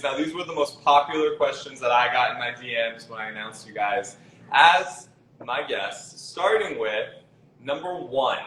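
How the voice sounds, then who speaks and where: A man hosting a live-stream interview, upbeat and encouraging, with quick reactions. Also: medium pitch, pushed and bright. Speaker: interview host. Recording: roomy echo, faint noise.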